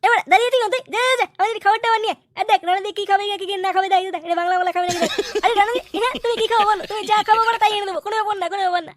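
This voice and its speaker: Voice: very high-pitched, fairly even intonation, rapid-fire, fairly bright tone, no room sound. A performer voicing a brash, foul-mouthed male street gangster in an adult comedy cartoon, cursing and bullying. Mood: boisterous.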